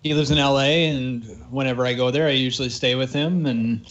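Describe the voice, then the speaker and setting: A man from Canada co-hosts a casual podcast. He is a voice actor and talks at an ordinary mid pitch, explaining things at length and laughing easily. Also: steady tone, fairly quick, dull audio. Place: Vancouver.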